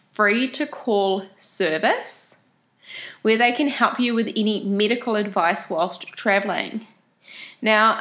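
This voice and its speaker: A woman's voice: mid-range voice, fairly bright tone. A woman talks to her viewers in a casual, friendly way, walking them through practical travel tips one step at a time. A head cold makes her voice sound plainly nasal and stuffed up. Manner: friendly, chatty, explains step by step. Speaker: travel vlogger. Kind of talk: casual advice talk to camera.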